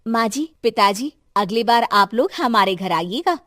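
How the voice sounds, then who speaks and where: A woman voicing a gentle, respectful eldest daughter-in-law in a moral cartoon story. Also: on the high side, animated, unhurried, no echo, clean studio sound. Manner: polite, respectful.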